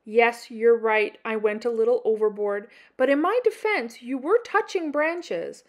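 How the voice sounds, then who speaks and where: A woman's voice: on the high side, a little slow. A woman playing an anxious, defensive little male porcupine from a children's storybook, speaking in a dramatic, emphatic way. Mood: sheepish. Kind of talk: children's picture-book character voice.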